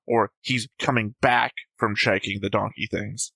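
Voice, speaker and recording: A man's voice: lowish voice, fairly even intonation, a little slow, vocal fry, pushed and bright, slightly rough, no echo. A man co-hosting a reaction show, casually talking through his notes, analytical and jokey. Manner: analytical, jokey, acts out quotes. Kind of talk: casual discussion reading notes.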